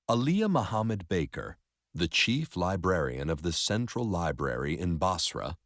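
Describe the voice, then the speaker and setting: A man narrating a story in an expressive educational read-aloud style. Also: deep voice, dry recording, clean studio sound.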